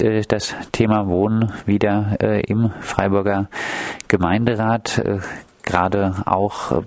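A man's voice: lowish voice, a little mellow, dry recording. A man working as a radio interviewer, putting questions to a guest and presenting the programme.

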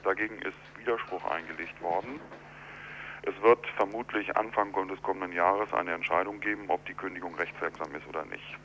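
A man speaking as an architect in a telephone interview, explaining in a measured, deliberate, argumentative way. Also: low-pitched, fairly bright tone. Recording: dull telephone line with clear hum.

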